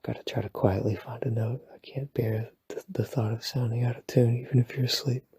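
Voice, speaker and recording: Breathy whispering man, gravelly. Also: mid-range voice, level tone, fairly quick, no room sound. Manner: soft, rambling, self-deprecating, playful. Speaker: ASMR boyfriend roleplay creator. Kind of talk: whispered sleep-aid rambling. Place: home at night.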